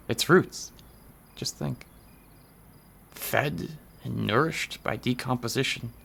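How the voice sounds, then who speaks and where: Haunted, intense horror writer, creaky voice. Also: male voice, medium pitch, fairly bright tone. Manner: earnest, articulate, florid. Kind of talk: theatrical radio drama acting.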